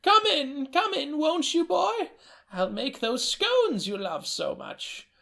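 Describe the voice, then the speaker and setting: A man voicing a confused, kindly old grandmother in a high, quavering falsetto. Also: very animated, slow, vocal fry, pushed and bright, exaggerated voice. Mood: doting.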